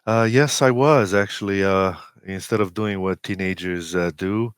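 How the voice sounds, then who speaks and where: A man in his forties with a Romanian accent, a native Romanian speaker, telling personal stories as an interview guest in a halting, hesitant way with many pauses. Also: low-pitched, clear, resonant tone, no echo, studio quality. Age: 40s. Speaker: interview guest, amateur radio operator.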